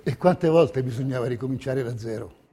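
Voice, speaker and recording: A man's voice: mid-range voice, fairly quick, mellow. A man delivering scripted, theatrical documentary narration in a declamatory, rhetorical way. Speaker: stage narrator.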